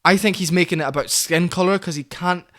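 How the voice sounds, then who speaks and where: A man's voice: high-pitched, steady tone, fast talker, a bit bright. A man giving heated, opinionated commentary on fighters, often quoting others in put-on voices. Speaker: commentary channel host.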